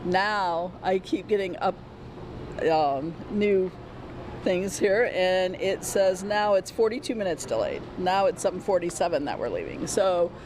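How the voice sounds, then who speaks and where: A woman's voice: on the low side, a little slow. A woman, a traveler, speaking casually and unscripted in an on-location interview. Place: on location at an airport. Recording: clear urban background noise, vehicles.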